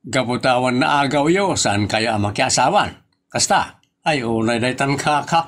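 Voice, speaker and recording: A man's voice: mid-range voice, expressive, moderate pace, a bit bright. A man playing a teasing nephew in a comedy audio drama, talking in a playful way. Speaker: nephew teasing his aunt.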